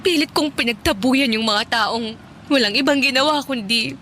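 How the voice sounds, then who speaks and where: An actress playing a remorseful, tender young elder sister in an earnest, emotional Tagalog radio drama. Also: female voice, high-pitched, moderate pace, sharp tone, slight background noise. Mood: tearful remorse.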